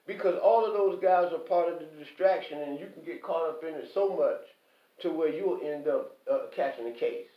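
A man delivering an impassioned, preachy monologue, emphatic and repetitive, often pausing to ask "okay?". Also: fairly high pitch. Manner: preachy, quotes scripture. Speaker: online commentator. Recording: echoey, distant, dull lo-fi.

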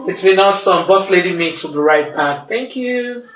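A woman's voice: medium pitch, animated, moderate pace, faint music behind. Chatty Nigerian woman hosting in an echoey room. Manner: chatty, laughing, asks questions. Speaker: host. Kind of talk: casual panel chat. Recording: echoey room with faint noise.

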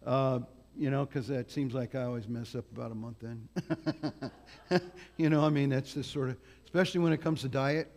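A man's voice: mid-range voice, darkish tone. A man preaching as a guest in a church, talking in a big voice with many fillers and restarts. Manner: loose, halting delivery with many fillers and restarts. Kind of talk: sermon. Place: church hall. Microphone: microphone on a sound system. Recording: church hall with long reverb.

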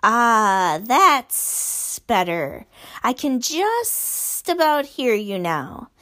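A woman reading aloud and voicing a sinister, mocking giant man who drawls his words slowly. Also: very high-pitched, big pitch swings, bright, forceful tone, heavily acted. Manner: slow, drawn-out, taunting.

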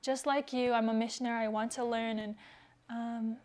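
Soft-spoken young woman, hesitant. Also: a little high, flat delivery, moderate pace. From United States. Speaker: returned missionary guest. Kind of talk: casual personal interview.

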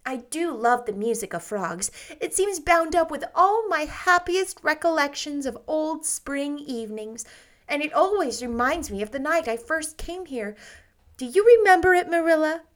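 A woman reading aloud and voicing an effusive, dreamy young woman in a lilting, gushing, high voice. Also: animated, normal speed.

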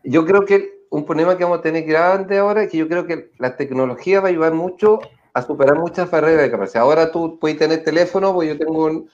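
A man interviewing casually, haltingly, repeating words and checking in with little question tags. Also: a little high, a little mellow. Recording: dull, muffled sound.

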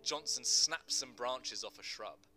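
A man's voice: medium pitch, measured pace, sharp tone, faint music behind. A male actor giving scripted, dramatic narration of a screenplay in terse stage directions.

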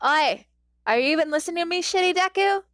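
A woman voicing a loud, bossy young boy who yells harshly. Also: sits high, moderate pace, clean tone, dry recording, clean studio sound, pitched above the performer's own voice. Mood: angry. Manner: yelling, harsh.

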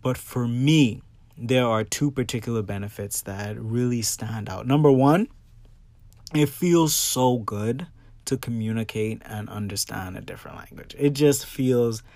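A man from the Bahamas speaks in a close, dry recording with a medium-pitched voice. He hosts a personal podcast in a conversational, expressive way, leaning on key words and addressing listeners directly. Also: a little slow, no echo. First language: English. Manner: expressive, emphatic, checks in with listeners. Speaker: podcast host. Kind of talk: personal solo podcast talk, casual and conversational.

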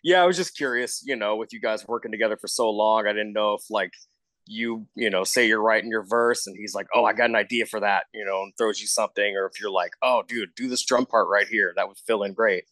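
A man's voice: on the low side, a little fast, fairly bright tone, no room sound, low-quality recording. An American man hosting a casual interview, informal and encouraging in how he asks questions. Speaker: interviewer, show co-host.

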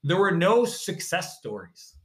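A man talking as a guest in a casual interview, emphatic and animated as he tells his story. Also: sits high, unhurried, roomy sound.

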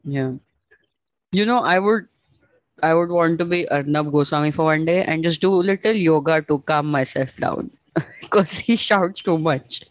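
A young woman with an Indian accent and a naturally deep voice, chatting casually, laid-back and often laughing. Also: moderate pace, no room sound, low-quality recording. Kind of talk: casual chat.

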